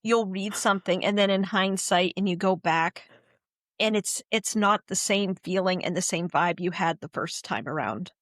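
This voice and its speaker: A woman's voice: on the low side, normal speed, a bit bright, no room sound, studio quality. A woman hosting a casual podcast chat, eagerly asking questions and laughing easily. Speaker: podcast host.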